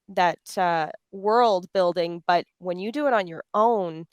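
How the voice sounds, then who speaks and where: Woman hosting a panel, really clean crisp voice, no room sound, expressive and deliberate. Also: fairly low pitch, no echo, studio quality. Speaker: panel moderator, voice actor. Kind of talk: moderated panel discussion.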